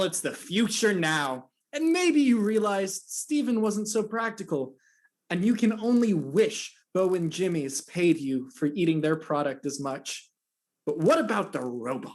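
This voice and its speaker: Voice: medium pitch, natural voice. An actor playing a smug male billionaire in a parody ad, with a chummy, theatrical sales manner. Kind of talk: parody advertisement.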